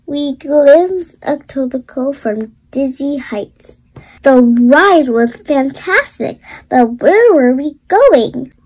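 A child reading a rhyming children's storybook aloud expressively, in deliberate short phrases. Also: high voice, darkish tone, low-quality recording. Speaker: storybook reader.

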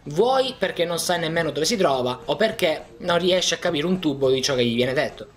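A man's voice: high-pitched, fairly bright tone. A man reading a scripted video essay narration in speech. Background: background music.

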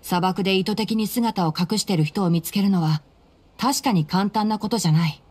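A woman voicing a calm, clever young male forest ranger in a video game, composed and analytical. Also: medium pitch, moderate pace, lightly acted. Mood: matter-of-fact.

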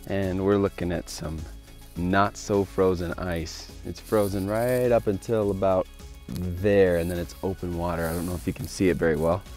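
An American man in his thirties narrating a casual fishing vlog outdoors on a frozen lake, filmed on a handheld phone and at times hushed to a murmur. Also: deep voice, fairly even intonation, normal speed, hardly any pauses, faint music behind. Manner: easygoing, drops to a hushed murmur while landing a fish. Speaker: fishing vlogger. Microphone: handheld phone camera.

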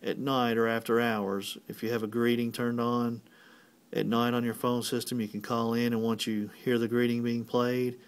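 Man, mid-pitched voice, technician tutorial, plain methodical delivery, step-by-step instructions. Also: little pitch movement, normal speed.

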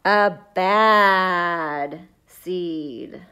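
A woman voicing a grumpy, defiant little sunflower seed in an emphatic, drawn-out storybook delivery. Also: fairly low pitch, sharp tone, clear, resonant tone, voice pushed down, exaggerated voice. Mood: brazen confessing.

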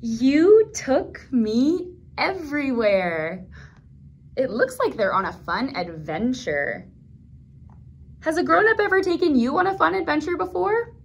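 A woman in her thirties leading a toddler storytime, speaking gently in simple phrases for small children as she reads aloud and comments. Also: high voice, sing-song, measured pace, creaky, slight background noise. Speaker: children's librarian, storytime host. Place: small indoor room at a library.

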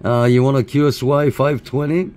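A man talks as a CB radio operator making contacts. His voice sits at an average pitch. He mixes call signs with casual chat, with clear radio noise behind him. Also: level tone, moderate pace. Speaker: CB radio operator and channel host. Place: indoor room by CB radio sets. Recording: clear background static and radio hiss. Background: radio static.

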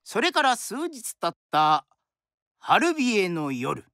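A woman's voice: mid-range voice, sing-song, slow pace, fairly bright tone, slight background noise. A woman narrating in a theatrical Japanese kodan storytelling style, with dramatic delivery and formal, polite phrasing. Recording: music behind the voice.

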